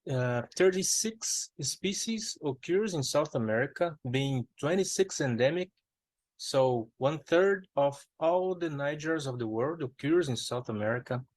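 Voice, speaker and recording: Slow, careful Brazilian man presenting. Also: a little high, animated, a little mellow, no room sound. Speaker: ornithologist presenter. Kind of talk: prepared conference talk.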